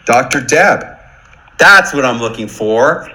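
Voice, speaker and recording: A man hosting a webinar, excited and animated as he talks. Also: mid-range voice, slow pace, a little hiss or hum.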